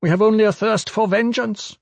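Man voicing a high-pitched, solemn pygmy woman. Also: fairly even intonation, a little fast, creaky, no echo. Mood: grieving, vengeful. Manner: formal, stately speech.

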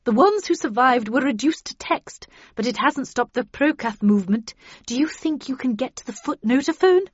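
A woman's voice: high voice, animated, normal speed, slightly rough, dry recording, muffled recording, pitched above the performer's own voice. A woman voicing a stern, commanding older woman with a brusque, grim and impatient manner in a narrated storybook.

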